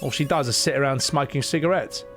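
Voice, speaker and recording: A man's voice: medium pitch, fast talker, fairly bright tone, lightly acted. A man voicing a blunt, slangy townsman in a game, warning a stranger off. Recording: faint game music behind.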